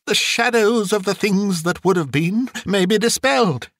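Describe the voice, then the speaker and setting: A man's voice: a little high, expressive, a little slow, fairly bright tone, no echo, studio quality, voice pushed up. A man voicing a reformed old miser bursting with joy and tearful emotion, exclaiming and whooping in a dramatic storybook reading. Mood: tearful relief. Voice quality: voice breaking with emotion.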